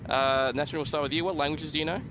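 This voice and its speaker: A man's voice: a little high, steady tone, fast, noisy background. A man hosting a radio interview, curious and given to wows and fillers. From Australia. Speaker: radio show host. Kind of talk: casual interview.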